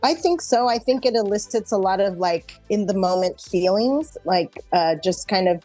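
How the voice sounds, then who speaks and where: A woman's voice: mid-range voice, expressive, moderate pace, a little mellow, soft background music. A woman with an American accent chatting casually as an interview guest, explaining things in a reflective way. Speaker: interview guest, actor and improv performer.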